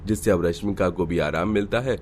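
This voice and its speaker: A man's voice: deep voice, fairly quick, a little mellow, a little hiss or hum. A man narrating a scripted cartoon family story.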